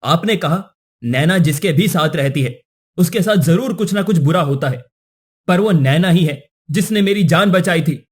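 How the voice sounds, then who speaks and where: Man as earnest, slightly husky young prince. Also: fairly high pitch, expressive, normal speed. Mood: firm. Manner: earnest, formal. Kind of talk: formal Hindi cartoon story acting.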